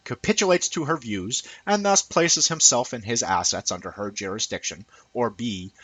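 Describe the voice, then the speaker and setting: A man's voice: lowish voice, fairly bright tone, husky, no echo, low-quality recording. Dry, close-sounding man reading an essay emphatically. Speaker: reader of an essay. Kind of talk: scripted essay reading, audiobook-like narration.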